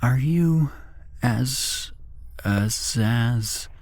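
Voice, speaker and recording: A man's voice: mid-range voice, very slow, darkish tone, no room sound, voice pushed up. A man voicing a polite, nervous female front-desk receptionist in a whispered horror story, speaking hesitantly.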